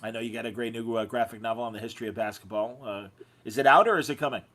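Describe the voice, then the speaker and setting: A man's voice: medium pitch, racing, a crowd in the background. A man hosting a podcast, chatting casually and effusively with his guests. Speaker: podcast host. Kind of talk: casual interview chat.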